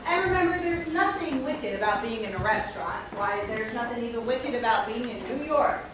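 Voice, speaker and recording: A woman's voice: a little high, animated, brisk, close to an everyday voice. A woman on stage playing a chatty, coaxing society lady with grand, reassuring theatrical delivery. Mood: coaxing, breezy. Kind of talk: theatrical stage comedy acting. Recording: very echoey, noisy hall from far off.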